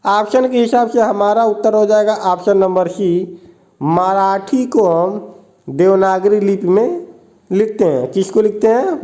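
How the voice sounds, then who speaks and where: A man's voice: high-pitched, dark tone. A man teaching an exam prep lesson, reading each question and its options, then repeating the answer back as a question. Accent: Hindi, north Indian.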